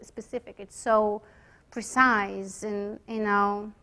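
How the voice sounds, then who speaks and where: A woman with a Bulgarian accent giving an informal lecture to students as a chiropractic radiologist, pausing often with fillers and restarts. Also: mid-range voice, steady tone, sharp tone. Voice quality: hesitant, many fillers and restarts. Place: lecture room.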